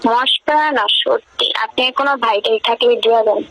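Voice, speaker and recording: A woman's voice: on the high side, a little fast, fairly bright tone, rough recording. A woman with a Bangladeshi accent chatting casually on the phone, giving short, bantering replies. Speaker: prank call recipient.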